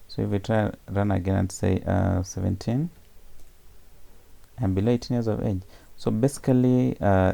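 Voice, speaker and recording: Gravelly deep-voiced man teaching code. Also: mellow. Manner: explains step by step with many fillers and self-corrections. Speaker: programming tutor. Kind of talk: screencast coding tutorial.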